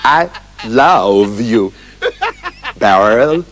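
A lovelorn storybook king as a male storyteller plays him, wounded and pleading. The delivery is extremely slow and melodramatic, with big swings in pitch. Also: expressive, a bit bright, exaggerated voice. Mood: hurt, lovelorn. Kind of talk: comic fairy-tale storytelling.

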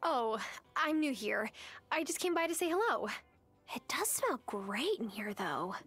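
A woman's voice: sits high, big pitch swings, unhurried, a bit bright, husky, heavily acted. A woman playing a friendly, upbeat young newcomer in a video game. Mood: friendly, curious. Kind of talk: video game character acting. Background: music.

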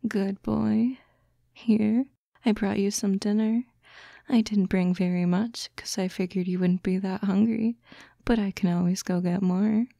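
A woman voicing a doting, teasing fox-girl girlfriend in a soft, breathy, coaxing ASMR roleplay, close to the microphone. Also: mid-range voice, fairly even intonation, dry recording. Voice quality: soft, breathy, often whispering. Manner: slow, coaxing, cooing. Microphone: close, dry microphone.

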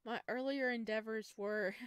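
A woman plays a shy young male forest creature from a visual novel game. He is earnest and eager, stammering over tender words in a fairly high voice, recorded close and dry. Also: fairly even intonation, moderate pace, smooth, no echo, close to an everyday voice. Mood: modest.

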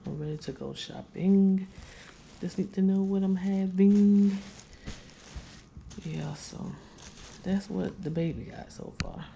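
Tired woman vlogger, gravelly low fry, casual y'all chat, faint room noise. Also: takes it slowly, long pauses, warm tone. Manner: chatty, tired, addresses viewers as y'all. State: weary. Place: living room, on couch, indoors. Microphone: handheld camera at close range.

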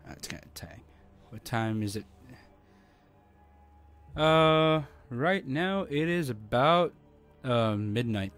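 Laid-back Filipino-accented gaming streamer. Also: male voice, medium pitch, lively intonation, slow pace, fairly bright tone, faint music behind. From Philippines. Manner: laid-back, chuckling, chatty with teammates. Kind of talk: casual gaming stream chat. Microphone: desk microphone.

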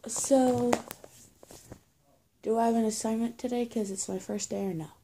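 A woman's voice: a little high, fairly even intonation, moderate pace, noisy background. A young woman acting out an improvised roleplay on her own, speaking from behind a scarf with a slightly muffled voice. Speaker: amateur roleplay video creator. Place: small plain indoor room. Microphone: phone or webcam at arm's length.

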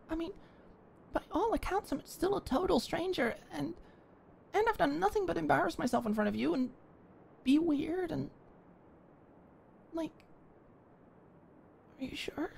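A young woman in an ASMR roleplay, talking shyly and stammering as a flustered neighbor, sometimes dropping to a soft whisper. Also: very high voice, normal speed, gravelly, pauses often, a little hiss or hum. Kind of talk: ASMR roleplay, one-sided dialogue.

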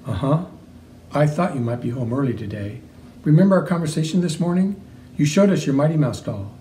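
A man's voice: fairly high pitch, expressive, a little fast, warm tone, far from the mic, a little hiss or hum, close to an everyday voice. Man reading as a firm, concerned policewoman. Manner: firm, questioning. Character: firm, concerned female police officer questioning a child.

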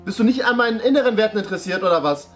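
A man's voice: sits high, expressive, rapid-fire, fairly bright tone. A man, improvising a comic role-play in a theatrical, repetitive, exaggerated way. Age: 20s. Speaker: performer in an improvised date sketch. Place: indoor studio set at a dining table. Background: background music.

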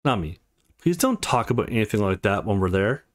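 A man voicing a polite, caring, anxious woman in a visual novel, with a gently pleading, motherly manner. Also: lowish voice, big pitch swings, a little fast.

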